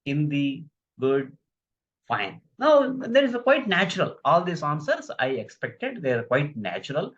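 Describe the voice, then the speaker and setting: A man's voice: fairly high pitch, wildly swooping pitch, a little slow, fairly bright tone. A man giving a live online lecture on communication skills, stressing key phrases, repeating himself and putting questions to his viewers. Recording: dull lo-fi recording.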